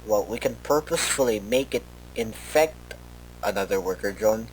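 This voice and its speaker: A man playing a worried researcher who records a rambling, halting, informal tape log for an analog horror story. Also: fairly low pitch, animated, slight background noise, lightly acted, rough recording. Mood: hesitant, explanatory. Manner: hesitant, halting, rambling with self-corrections.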